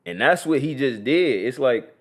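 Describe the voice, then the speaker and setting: A man's voice: mid-range voice, animated, moderate pace. A young man from Columbus, Ohio, co-hosting a podcast in a loose, slangy, casual chat. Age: young adult. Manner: loose, slangy, frequent "you feel me". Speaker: podcast co-host.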